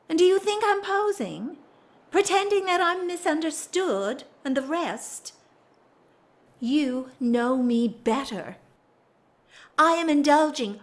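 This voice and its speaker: A woman's voice: high-pitched, a bit bright, full character voice. A woman playing a restless, bored aristocratic wife in a period audio drama, theatrical and wry, with emphatic swells. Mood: candid, impassioned. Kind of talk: period drawing-room audio drama acting.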